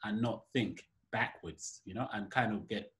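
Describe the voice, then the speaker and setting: A man's voice: fairly low pitch, normal speed, fairly bright tone. A young man hosting a casual video-call interview, talking in a reflective, loose way with many "you know"s, from a room at home. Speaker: interviewer, host. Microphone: laptop webcam on a video call.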